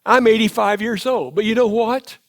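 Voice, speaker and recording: Man preaching, southern accent, high-pitched voice, reflective church sermon. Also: moderate pace. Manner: reflective, paraphrases scripture in first person. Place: church sanctuary.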